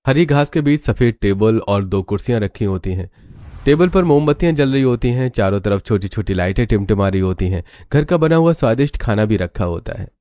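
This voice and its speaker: Guy narrating a family cartoon story, low soft-edged mellow voice. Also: lively intonation, a little fast, dry recording, clean studio sound.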